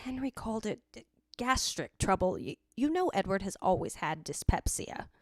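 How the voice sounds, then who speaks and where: An actress playing a stern, strained but composed elder sister in an old-time radio gothic drama, controlled and reproving. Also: female voice, medium pitch, very animated, normal speed, no echo, clean studio sound. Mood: stern, guarded.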